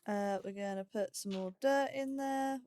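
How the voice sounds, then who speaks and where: A woman's voice: medium pitch, measured pace, warm tone, a little hiss or hum. A woman giving casual let's play commentary, chatting and musing aloud in a self-deprecating way. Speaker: gaming YouTuber, host of a let's play series.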